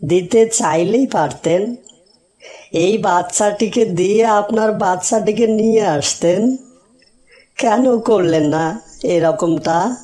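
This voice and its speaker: A woman's voice: medium pitch, takes it slowly, long pauses, mellow, natural voice. A woman voicing a polite, sympathetic village man with a respectful, earnest manner in a cartoon story. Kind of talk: cartoon moral story dialogue.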